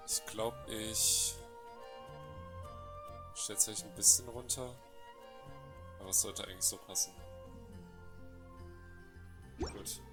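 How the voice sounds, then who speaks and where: A man's voice: lowish voice, fairly even intonation, slow, pauses often. A man, a native German speaker, doing casual live commentary as a game streamer. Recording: loud game music behind voice. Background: game music.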